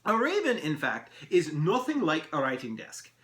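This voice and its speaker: A man voicing a tiny, merry and pompous male elf in a storybook, with theatrical, old-fashioned, drawn-out phrasing. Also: a little high, huge pitch swings, a bit bright, roomy sound, voice pushed up. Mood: musing, playful. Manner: theatrical, archaic formal phrasing, drawn-out delivery. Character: tiny mercurial male elf, merry, pompous, riddle-loving pipe smoker.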